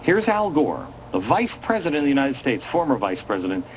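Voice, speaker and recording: Animated, indignant man hosting political commentary. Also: medium pitch, brisk, a little hiss or hum, poor audio. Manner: dramatic, indignant, sarcastic. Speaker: host of a political commentary show. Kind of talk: opinionated political commentary to viewers.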